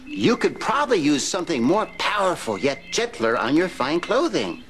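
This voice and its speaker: An actor playing an earnest grown son in an old-time radio soap advert. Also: male voice, a little high, sing-song, moderate pace, crowd noise. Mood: eager, salesy.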